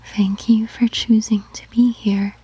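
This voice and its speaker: Female meditation guide, ASMR style: whispery and very breathy, mellow tone, very slow gentle pacing. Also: fairly high pitch, little pitch movement, dry recording. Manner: slow, gentle, measured instructions.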